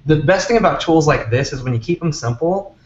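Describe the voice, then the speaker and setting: A man offers encouraging advice as an interview guest, speaking informally at an average pitch. The sound is roomy and a little muffled, with faint background noise. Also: expressive, brisk. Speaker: interview guest, app maker. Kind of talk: casual advice in conversation. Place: office. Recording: echoey, muffled, noisy office video call.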